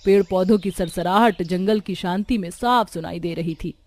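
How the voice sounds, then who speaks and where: A woman's voice: on the low side, normal speed, a little mellow. A woman narrating a scripted cartoon story.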